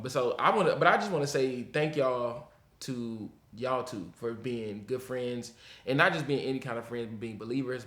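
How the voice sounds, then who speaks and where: Casting: man, mid-pitched, affirming casual podcast host, recorded in a roomy echoing space. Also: a bit bright. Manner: affirming, interjecting agreement. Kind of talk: casual conversational hosting. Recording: roomy, echoing indoor sound.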